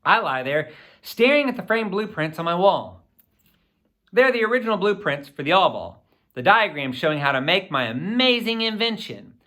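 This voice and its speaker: Guy reading a kids book out loud, super animated, bright forceful voice, theatrical. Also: high voice, moderate pace. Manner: animated, theatrical, clearly phrased for children. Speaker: audiobook reader. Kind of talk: expressive first-person children's story narration.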